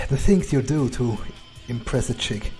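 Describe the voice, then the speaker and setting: Slow, musing man role-playing as a vampire. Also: medium pitch, lively intonation, a little mellow, faint music behind. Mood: hesitant, wry. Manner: musing, hesitant, casual, sweary. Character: laid-back, wry male vampire thinking aloud. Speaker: gamer role-playing his character. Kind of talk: in-character game playthrough commentary.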